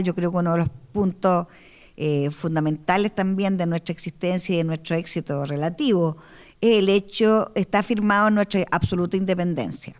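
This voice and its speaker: A woman, a guest panelist and director, speaking in a measured way with some hesitations. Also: fairly low pitch, a little mellow, clean tone. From Chile. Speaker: invited panelist, observatory director. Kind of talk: public talk at a panel. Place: university lecture hall.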